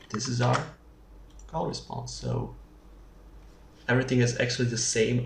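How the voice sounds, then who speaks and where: A screencast tutor talks slowly and haltingly, working through code out loud. The sound is echoey, with clear background noise and the clicking of keys as they type. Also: medium pitch. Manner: halting, thinking aloud while typing. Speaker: programming tutorial presenter. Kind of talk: unscripted screencast coding tutorial. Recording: roomy, distant mic with clear background noise. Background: keyboard typing and mouse clicks.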